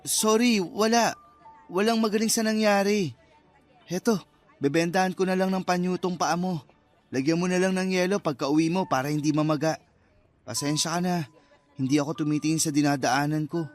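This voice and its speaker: An actor plays an awkward young man in a radio drama. His voice is high for a man, earnest and full of apology. Light music plays behind him. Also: fairly even intonation, normal speed, pauses often, lightly acted. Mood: awkward, sheepish. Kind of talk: Filipino radio drama acting.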